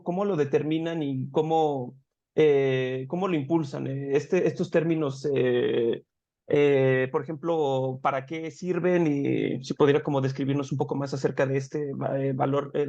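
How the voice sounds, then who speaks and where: A man's voice: mid-range voice, fairly even intonation, a little slow, vocal fry, warm tone, lo-fi sound. A man interviewing a guest, asking questions hesitantly with many fillers.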